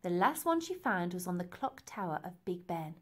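A woman reading a children's story aloud in an expressive, deliberate and emphatic way. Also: on the low side. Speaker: storybook reader.

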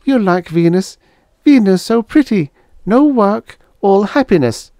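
A man's voice: high-pitched, lively intonation, measured pace, warm tone, no echo, clean studio sound, pitched above the performer's own voice. A man doing a wheedling Venusian woman. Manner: wheedling, broken English. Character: alien woman from Venus, coaxing.

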